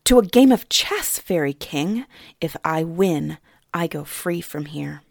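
A woman's voice: sits low, expressive, slow pace, vocal fry, darkish tone, no echo, clean studio sound, full character voice. A woman voicing a hungry, defiant young heroine who speaks firmly. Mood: challenging. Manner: defiant, firm.

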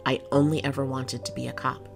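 A jaded, steady policewoman acted by a woman with heavy vocal fry. She speaks plainly and reassuringly, in audio drama style, over light music. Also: a bass voice, fairly quick. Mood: weary, reassuring. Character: jaded, kind-hearted, steady female city cop.

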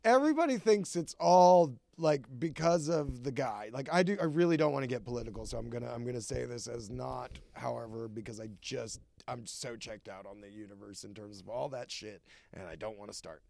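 Gravelly, sardonic guest. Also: male voice, medium pitch, fairly quick, warm tone, no echo. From Alabama, US. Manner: blunt, sardonic, rambling asides. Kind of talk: casual comedic chat.

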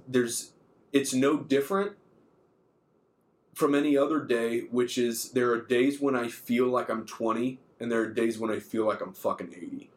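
A thirty-year-old man chatting casually as a podcast co-host, informal and freely swearing. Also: mid-range voice. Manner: informal, profane. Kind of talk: casual chat.

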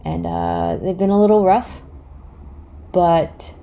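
A woman's voice: fairly low pitch, sing-song, slow, vocal fry, dark tone. A woman of thirty with a Canadian accent, hosting a podcast and answering questions in a candid, reflective, casual way with some hesitation. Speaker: podcast host.

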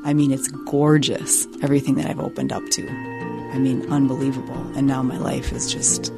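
A woman's voice: very deep voice, flat delivery, normal speed, creaky. A woman giving a heartfelt personal testimonial in effusive, casual words. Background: prominent music with vibraphone.

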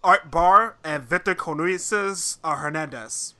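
A man's voice: on the high side, measured pace, bright, forceful tone. A man co-hosting a podcast, chipping into casual chat. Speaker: podcast co-host. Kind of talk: casual wrestling talk.

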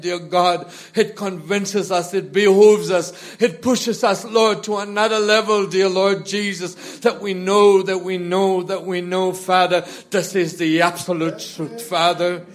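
A man's voice: high voice, fairly even intonation, a little slow, hardly any pauses. A man leading a congregation in fervent, spontaneous prayer, pleading in short repeated phrases that swell and subside. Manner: repetitive, pleading, short phrases punctuated with addresses to God; swells from hushed to loud and impassioned. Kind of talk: fervent extemporaneous church prayer.

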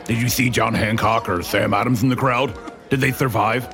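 An actor playing a dignified male general who speaks in a slow, stately way. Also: steady tone, music in the background, close to an everyday voice. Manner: deep, dignified.

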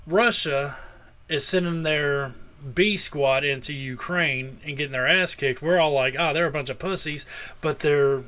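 A man's voice: fairly high pitch, a bit bright. Crude, opinionated man podcasting. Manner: crude, opinionated, swears freely. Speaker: podcast host. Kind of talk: casual podcast chat.

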